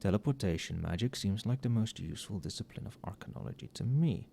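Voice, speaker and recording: A man playing an overworked, tipsy magic professor in a casual ASMR roleplay, muttering softly with dry, wry asides. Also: on the low side, animated, fast, gravelly, slight background noise. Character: tipsy, overworked male magic professor grading late at night.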